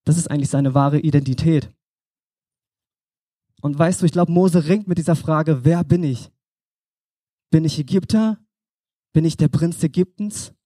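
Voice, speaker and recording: A young man preaching in a relaxed, conversational way, speaking straight to the listener as if chatting. His voice sits a little high but sounds mellow and dark. The sound is close and dry, with almost no room echo. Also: moderate pace, pauses often. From Germany. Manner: conversational, addresses listener directly. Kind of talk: informal sermon.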